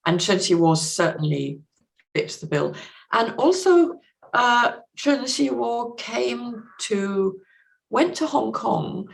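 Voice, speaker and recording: A woman speaking as a literary interview guest, explaining things in an articulate, considered way and pausing to find the right words. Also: on the high side, very animated, creaky, poor audio. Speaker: podcast guest, literary translator.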